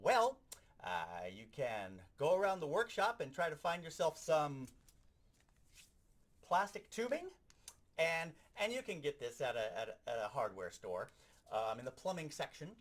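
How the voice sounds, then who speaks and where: Animated, higher-voiced man giving a hands-on demo. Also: unhurried. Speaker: sound effects instructor. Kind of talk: hands-on instructional demonstration.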